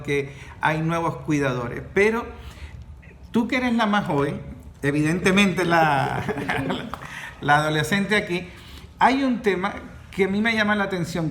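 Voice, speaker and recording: A man's voice: fairly high pitch, a bit bright. A man, hosting a podcast conversation, who often cuts in to finish his guests' sentences. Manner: interjects, finishes others' sentences. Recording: echoey room with faint background noise.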